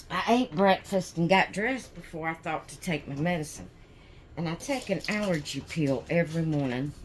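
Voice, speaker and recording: A woman chatting casually in a home vlog, hoarse from sneezing, rambling with many fillers and restarts. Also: on the low side, a little hiss or hum. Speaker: doll channel vlogger. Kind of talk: casual chat. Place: at home indoors.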